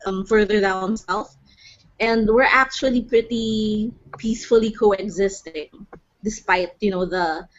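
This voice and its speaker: A woman from the Philippines with a Filipino accent, speaking in a measured, halting way with frequent restarts on an online panel. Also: medium pitch. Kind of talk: casual panel discussion. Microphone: video call. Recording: dull lo-fi online call audio.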